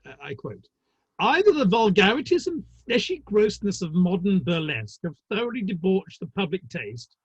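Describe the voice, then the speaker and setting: Dull lo-fi audio, guy playing arch victorian theatre manager, husky, really swoopy delivery, droll. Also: sits high, very animated, normal speed, no room sound, full character voice. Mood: wry exasperation. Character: wry, talkative Victorian theatre manager, urbane and gossipy. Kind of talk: dramatic play reading. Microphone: online call.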